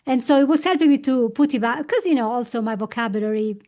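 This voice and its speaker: Woman, podcast host, slightly high voice, curious, lively, chatty. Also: fast talker. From Italy. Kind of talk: conversational interview.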